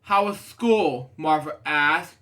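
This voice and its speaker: A man's voice: a little high, slow pace, bright, forceful tone, faint music behind. A man reading a children's picture book aloud casually, often breaking off into rambling, digressive asides. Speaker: storybook reader and show host.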